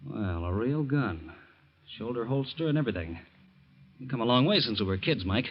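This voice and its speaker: An earnest ex-convict, husky voice. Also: male voice, mid-range voice, normal speed. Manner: earnest, expressive, tender then firm. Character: ex-convict young man, caring uncle. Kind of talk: 1940s radio acting. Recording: muffled 1940s radio recording.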